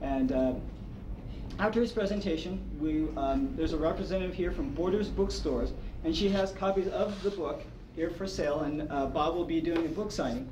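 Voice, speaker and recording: A man's voice: fairly high pitch, normal speed. A man hesitantly giving a prepared introduction of a guest speaker at a meeting, stumbling a little as he goes. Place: meeting room, picked up from afar. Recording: distant, echoey, noisy meeting-room recording.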